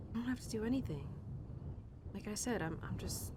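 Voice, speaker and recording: A woman playing an upset young cowgirl talking tenderly and haltingly to her horse in an intimate audio roleplay. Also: expressive, lightly acted. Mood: weary, gently amused. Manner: halting, casual, sighing, talking softly to an animal. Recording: clear background noise with low rumble.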